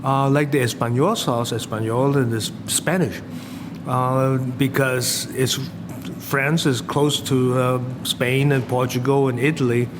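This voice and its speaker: A man's voice: medium pitch, steady tone, unhurried. A middle-aged man from Hong Kong with a Hong Kong accent, a chef and teacher talking casually in a rambling, hesitant way. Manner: rambling, hesitant, non-native phrasing. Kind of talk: casual interview answers and explanation. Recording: noisy, long-reverberant room.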